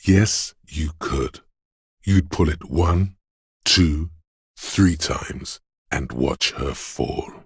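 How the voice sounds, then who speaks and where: An actor voicing a menacing inner voice of fear and violent aggression in a video game, brooding and theatrical, speaking in the second person. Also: male voice, no echo, clean studio sound, exaggerated voice. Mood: cold urging. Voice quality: very deep, gravelly, husky. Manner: brooding, theatrical, deliberate delivery with ominous weight.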